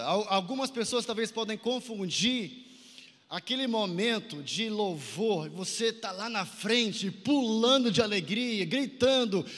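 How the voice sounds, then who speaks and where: A man's voice: high voice, bright, forceful tone. A Brazilian man preaching a sermon at a youth conference in an exhorting manner, in an echoey large hall. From Santarém, Pará, northern Brazil. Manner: exhorting, asks listeners to repeat phrases and respond.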